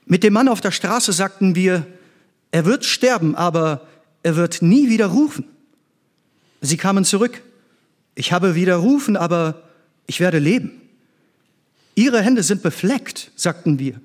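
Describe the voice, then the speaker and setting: Middle-aged scientist, fairly high voice, earnest, intense, theatrical delivery, stage drama. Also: male voice, lively intonation, a little slow, pauses often. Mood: fervent, admiring.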